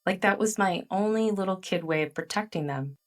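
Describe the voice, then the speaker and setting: A middle-aged woman, a guest author whose first language is Chinese, telling personal stories in an animated, candid way, full of "like" fillers. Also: mid-range voice, fairly quick, distant mic. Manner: animated storytelling with frequent "like" fillers. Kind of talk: candid interview conversation.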